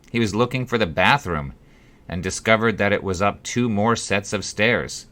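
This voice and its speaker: A man reading his own story as an audiobook, in a wry, dryly humorous way with dramatic pauses. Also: low voice, bright, forceful tone. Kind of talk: scripted audiobook narration of a memoir-like story.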